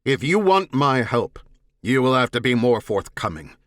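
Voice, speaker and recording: A man's voice: mid-range voice, very animated, moderate pace, no room sound, studio quality, natural voice. A man voicing a stern, imperious alien warrior lord, commanding, terse and suspicious, in a science fiction audiobook. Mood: stern, threatening.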